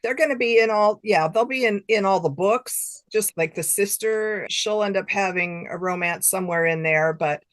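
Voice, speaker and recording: A woman's voice: on the low side, dry recording. A middle-aged woman, an author being interviewed, chatting casually and thinking aloud in loose, informal sentences. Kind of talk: casual chat.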